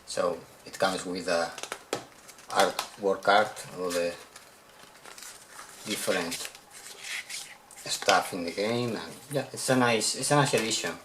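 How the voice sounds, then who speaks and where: A man from Spain with a Spanish accent, a Spanish speaker talking in English in a casual unboxing vlog about his newest video games, often halting and repeating words as he searches for them. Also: low voice, noisy background. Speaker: video game collector showing purchases.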